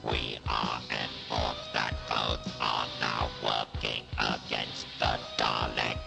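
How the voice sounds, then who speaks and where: A man playing a merciless alien machine ruler in a science-fiction audio drama, slow and commanding, through a robotic filter. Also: extremely low pitch, level tone, gravelly, exaggerated voice. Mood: cold, commanding. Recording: echoing, music under the voice.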